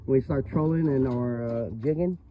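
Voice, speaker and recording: A man's voice: mid-range voice, normal speed. A man hosting a fishing channel, chatting casually outdoors by a river into a handheld phone, with dropped word endings in his speech. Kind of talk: casual outdoor vlog chat. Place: outdoors at a river, on and near a small boat. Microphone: handheld phone near arm's length. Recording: muffled phone-like audio, outdoor boat noise.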